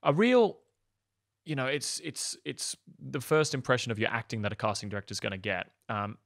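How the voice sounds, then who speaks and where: Man, 30s, casual coach read, lowish voice, dry close sound, loose with filler words. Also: fairly bright tone, no room sound. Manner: casual and upbeat, many ums. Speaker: acting coach. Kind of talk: online tutorial, screen walkthrough.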